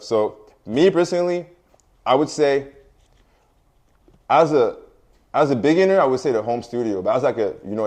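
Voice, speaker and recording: A young man whose first language is French, answering interview questions in a fairly low voice. He sounds relaxed and easygoing, leaning on fillers and laughing often as he talks. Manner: laid-back, filler-heavy, laughs often. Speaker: music artist guest.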